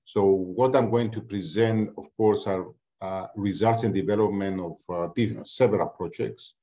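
Older male academic, deep dark voice, calm measured delivery. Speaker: guest speaker, professor. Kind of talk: opening a presentation on a video call. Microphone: computer mic on a video call.

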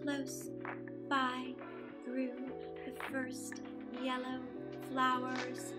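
A woman voicing a gentle, sunny storybook buttercup, tender and unhurried. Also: high-pitched, completely flat delivery, over loud music, pitched below the performer's own voice. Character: bright, gentle buttercup flower telling sunny tale.

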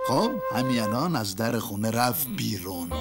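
An actor playing a proud, confident master in a comic cartoon, speaking with emphatic, suspenseful delivery. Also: male voice, on the low side, animated. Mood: suspenseful bragging. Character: proud, confident master bragging about his servant. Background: music.

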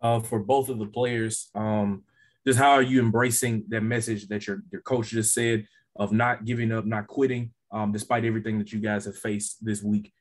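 A man reporter asking the players measured questions at a press conference. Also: lowish voice, level tone.

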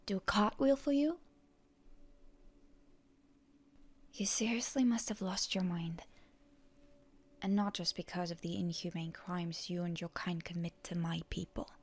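A woman playing a proud, stubborn young heroine in an ASMR roleplay, in a breathy, often whispery voice with indignant bluster. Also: fairly low pitch, steady tone, lightly acted. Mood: scornful, hurt. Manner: indignant, halting, tsundere bluster. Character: proud, stubborn wounded young heroine, defiant toward her enemy.

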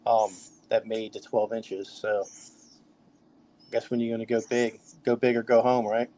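A man's voice: on the low side, level tone, a little slow, mellow, rough recording. A middle-aged man from the Mid-US, chatting casually as a guest on a podcast, with loose talk full of fillers and restarts. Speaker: kayak fishing trail director.

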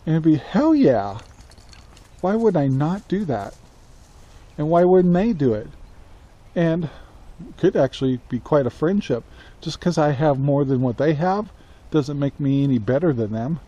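An American man in his sixties talking casually to camera outdoors, halting, reflective and plain-spoken. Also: fairly high pitch, animated, warm tone, dull audio. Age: 60s. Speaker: country living vlogger. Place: outdoors on a rural yard beside a metal barn. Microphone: camera at arm's length. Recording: faint outdoor ambient noise.

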